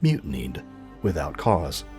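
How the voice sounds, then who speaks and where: Deep-voiced male narrator, grave and measured. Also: huge pitch swings, a little mellow, faint music behind. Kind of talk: dramatic scripted narration for a fiction podcast.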